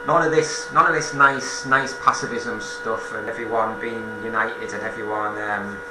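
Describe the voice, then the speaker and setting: A man giving an informal political monologue as a commentator, halting and self-correcting, repeating phrases for emphasis. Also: on the low side, fairly even intonation, reverberant, very noisy.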